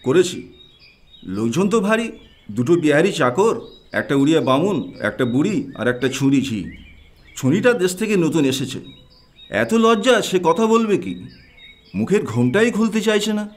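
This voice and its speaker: A man's voice: a little high, sing-song, measured pace, pauses often. An actor playing a disheartened male police sub-inspector, grumbling sarcastically in an audio mystery drama. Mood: sardonic. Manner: sarcastic, colloquial. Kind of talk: audio story detective drama.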